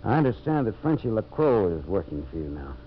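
A man's voice: on the low side, big pitch swings, fairly quick. An actor playing a shrewd, hard-nosed timberman in a 1950s radio drama, terse and suspicious. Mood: guarded, terse. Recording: muffled, hissy old radio recording with hum.

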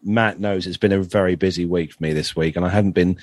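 A man with a slightly raspy voice, co-hosting a chatty news show and reading stories aloud somewhat haltingly. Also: low-pitched, steady tone, darkish tone, no room sound. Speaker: podcast co-host.

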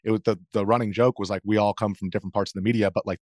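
A man with a fairly low voice talks quickly as an interview guest. His account is casual and matter-of-fact, recorded dry and close. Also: steady tone, darkish tone, no echo. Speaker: interview guest, video journalist. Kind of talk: casual first-hand recounting.

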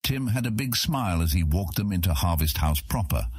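Very deep, husky male audiobook narrator. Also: animated, fairly quick, a little mellow, no echo, clean studio sound. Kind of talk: scripted fantasy audiobook narration.

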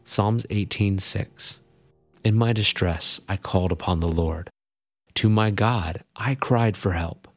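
A man reading scripture aloud in a slow, measured way. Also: on the low side. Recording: distant, set under music.

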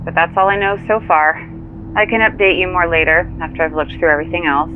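A woman playing a brisk, dry, efficient assistant in an audio drama, heard through a phone filter. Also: lowish voice, fairly even intonation. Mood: matter-of-fact. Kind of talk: naturalistic audio drama acting. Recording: tinny phone line, loud car hum.

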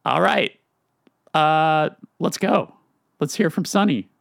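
Male podcast host, gravelly, chatty and rambling, dry close mic. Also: on the high side, expressive, slow, a bit bright, no echo. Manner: chatty, loose, many fillers and restarts. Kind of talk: casual podcast interview.

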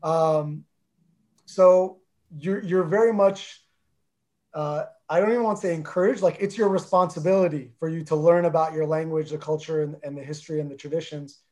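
Jersey guy, armenian was his first language, kinda high voice, earnest, lots of ums. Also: steady tone, moderate pace, a little mellow. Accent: New Jersey. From New Jersey, USA. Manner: earnest, thoughtful, with many fillers and restarts. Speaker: guest. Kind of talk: casual interview talk.

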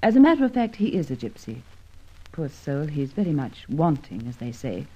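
Low, husky farmer's daughter. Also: female voice, big pitch swings, normal speed, dark tone, full character voice. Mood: sympathetic, explanatory. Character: earnest, matter-of-fact young farmer's daughter. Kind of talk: old radio drama acting. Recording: muffled old radio recording.

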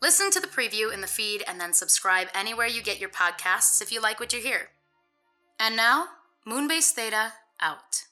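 A woman's voice: a little high, big pitch swings, moderate pace, sharp tone. A woman podcast host reading scripted promotional narration. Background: music.

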